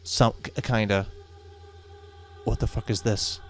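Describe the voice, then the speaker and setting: A man's voice: on the low side, fairly even intonation, slow pace, soft background music. A man hosting a gaming video with casual, reactive let's play commentary.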